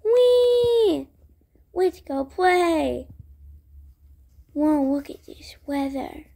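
A woman with a high voice acts out an improvised roleplay story. The delivery is slow and halting, with a soft, mellow tone and pauses between phrases. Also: expressive, vocal fry. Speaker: video creator voicing all characters. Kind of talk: improvised character roleplay storytelling for a toy-world game video.

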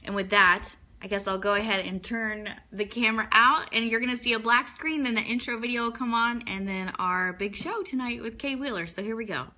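A woman with a fairly high, bright voice hosts an online radio show. She speaks in a presenter's style, moving between announcements and interview questions. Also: a little fast, few pauses. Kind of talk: upbeat show introduction and interviewing. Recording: live internet stream.

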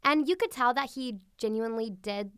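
A woman co-hosting a podcast, chatting casually and thinking out loud. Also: on the high side, normal speed, clear, resonant tone, dry recording, studio quality. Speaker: guest co-host.

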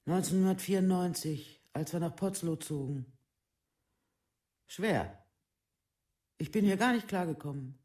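An actor playing a withdrawn village newcomer in a documentary radio play, speaking colloquially in short halting sentences. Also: male voice, sits high, a little mellow. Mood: subdued, resigned. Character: withdrawn villager, newcomer who never fitted in.

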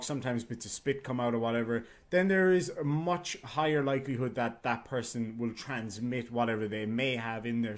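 A man in his thirties with an Irish accent, talking bluntly and profanely to a webcam in a room at home. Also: mid-range voice. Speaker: YouTuber. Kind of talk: casual vlog rant.

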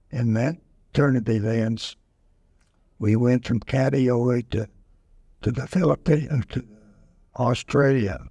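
A man in his nineties slowly recalling wartime service in an oral history session, halting with many fillers, speaking into a handheld mic. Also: mid-range voice, gravelly, darkish tone, husky, dry recording, clean studio sound. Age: over 90. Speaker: veteran interviewee. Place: museum event room, indoors. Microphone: handheld mic held close.

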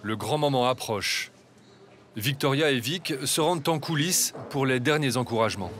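A man reading scripted documentary voice-over narration in a measured, even way. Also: medium pitch, slight background noise.

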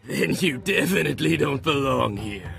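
An actor playing an aggressive, short-tempered gang thug in a video game, taunting and menacing. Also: male voice, medium pitch, lively intonation, normal speed, vocal fry, fairly bright tone, soft background music. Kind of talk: video game dialogue acting.

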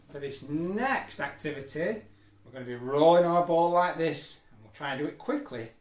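A middle-aged man teacher leading a children's exercise video, giving simple, encouraging step-by-step instructions. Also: mid-range voice. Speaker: special-needs school teacher leading an activity. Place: indoor room at a wooden table. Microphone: camera a few feet away. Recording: echoey room, music in the background.

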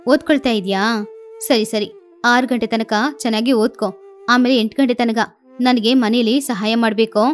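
A woman's voice: a little high, fairly even intonation, normal speed, faint music behind, pitched below the performer's own voice, close to an everyday voice. Cartoon mother bird, voiced by a woman: strict, bossy, given to lecturing. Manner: scolding, lecturing. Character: strict mother sparrow, bossy with daughter, doting on son.

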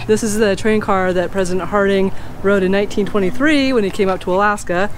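A woman's voice: mid-range voice, a little fast, slight background noise. A middle-aged woman talking casually and informally to camera, explaining things as the host of a travel vlog. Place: outdoors in parking lots and a park. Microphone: handheld camera at arm's length.

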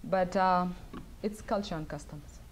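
Low-pitched woman speaking at a lectern. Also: noisy background. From South Sudan. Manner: thoughtful, halting, many fillers. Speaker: guest speaker. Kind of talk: lecture Q&A answers. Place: wood-paneled event room, at a lectern. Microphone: lectern mic.

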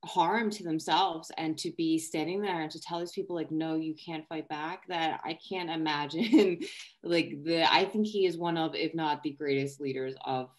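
Lower-pitched young woman. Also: steady tone, moderate pace. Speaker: discussion participant. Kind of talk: casual discussion in an online panel. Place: living room or home office, indoors. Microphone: video call computer mic.

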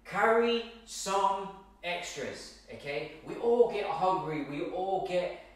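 A man's voice: sits high, lively intonation, slow pace. A man in his thirties, a fitness coach, giving meal prep tips straight to camera, emphatic and repetitive, often checking in with "okay?". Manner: emphatic, repeats points, keeps asking okay. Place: large bare home kitchen. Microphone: far camera, no visible mic. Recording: very echoey room, from far off.

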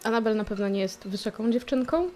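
A woman player in a tabletop game describing her character, thinking aloud with small pauses. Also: on the high side, mellow, faint music behind. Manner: thoughtful, with hesitant fillers.

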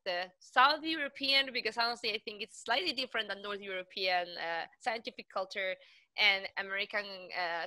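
A woman in her thirties from Spain, speaking in a bright, forceful voice. She talks with enthusiasm on a video call, laughs easily and keeps restarting her sentences as she goes. The sound is dry and close to the mic. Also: mid-range voice, no echo. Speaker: co-host and postdoc. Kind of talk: casual online panel chat.

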